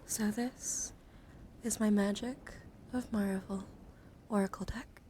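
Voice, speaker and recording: A woman's voice: medium pitch, fairly even intonation, slow pace. Whispering woman streamer, noisy background. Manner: alternates soft whispering with hushed talk. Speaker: livestream host. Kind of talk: whispered ASMR chat. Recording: clear background noise on livestream.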